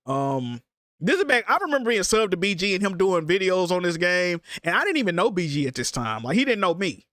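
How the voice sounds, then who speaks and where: A congested man with a fairly high voice talks in loose, slangy banter and swears a lot as he hosts a livestream. He sits close to the mic, and the sound is dry and close. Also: big pitch swings, a little fast, a bit bright, no room sound. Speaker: streamer host. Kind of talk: casual livestream chat.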